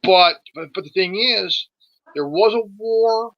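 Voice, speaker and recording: A man's voice: sits high, slow pace, no room sound, highs cut off. A man in his forties in a casual political discussion, sweary and emphatic, often stumbling and repeating his words. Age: 40s.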